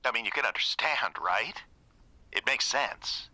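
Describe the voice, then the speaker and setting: An actor playing a nervous, evasive ex in an audio drama, speaking in a hesitant, halting way. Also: male voice, medium pitch, expressive, sharp tone, no echo. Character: awkward, evasive ex-boyfriend, nervous and conflict-shy. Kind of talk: radio drama acting.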